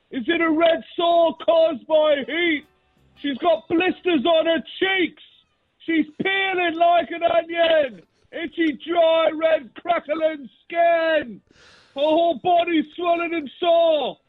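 A man playing an eccentric, earnest radio call-in regular who yells and declaims obliviously down a phone line. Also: very high-pitched, no room sound. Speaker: phone-in caller. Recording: thin band-limited phone line.

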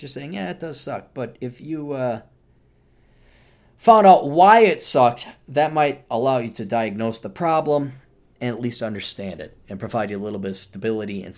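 A man hosting a solo podcast, ranting sardonically and with plenty of profanity while he plugs books. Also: mid-range voice. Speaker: podcast host.

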